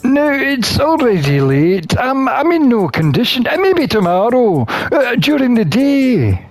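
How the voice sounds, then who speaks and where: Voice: mid-range voice, very animated, a little slow, faint music behind. A person voicing a flustered, protective satyr from a visual novel in a hesitant, stammering way. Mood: embarrassed, apologetic. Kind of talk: acted visual novel dialogue reading.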